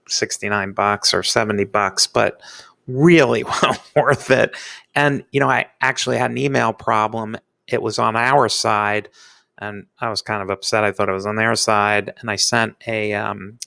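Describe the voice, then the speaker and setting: A man giving a casual screencast software review in an informal, halting but enthusiastic way. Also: fairly low pitch, creaky, no room sound. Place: indoors, screen-recording voice-over.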